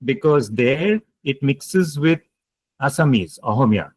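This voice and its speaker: A man's voice: mid-range voice, expressive, a little slow. A man giving an online lecture, explaining points emphatically, repeating key ideas and taking questions. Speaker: guest lecturer.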